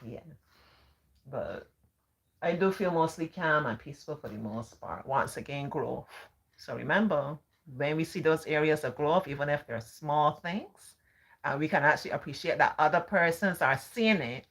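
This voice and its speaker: A calm Barbadian woman hosting a live stream, talking casually in a gentle, sing-song way. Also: deep voice, normal speed.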